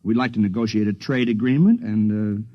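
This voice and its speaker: A man's voice: lowish voice, normal speed, no echo, natural voice. An actor playing an earnest, polite envoy of a new nation pleading his case in a 1950s radio drama, a little hesitant. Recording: lo-fi 1950s radio broadcast, dry studio.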